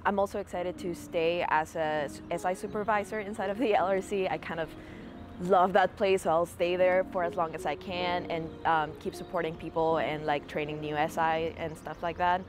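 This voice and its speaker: A woman's voice: fairly low pitch, steady tone, normal speed, noisy background. A woman in her twenties from Peru, a student answering interview questions in an earnest, casual way with many fillers. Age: 20s. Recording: music playing behind the voice.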